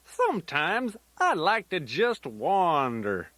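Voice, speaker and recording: Children's toy tape grandpa bear: elderly, folksy, playful rambler, slow delivery, very animated swooping intonation. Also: male voice, on the high side, no room sound, exaggerated voice. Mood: gently teasing. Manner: folksy, rambling, sing-song rhyming asides.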